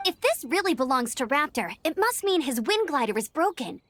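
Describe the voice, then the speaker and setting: A perky, high-voiced young knight scout. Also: female voice, big pitch swings, brisk, a bit bright, dry recording. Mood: thoughtful. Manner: perky, determined, self-assured. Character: eager, confident young female knight scout. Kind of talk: scripted video game voice acting.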